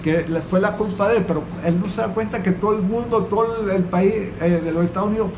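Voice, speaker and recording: A man with a Venezuelan accent speaking informally in a political discussion, with halting, repetitive phrasing. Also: sits high. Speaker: panelist on a political podcast. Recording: echoey room, clearly noisy recording.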